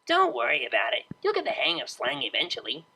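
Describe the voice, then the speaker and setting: Woman voicing a brash, creaky young unicorn DJ. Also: low-pitched, wildly swooping pitch, quick pace, sharp tone, exaggerated voice, poor audio. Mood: flustered. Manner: casual, slangy, offhand. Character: brash, laid-back young unicorn mare DJ.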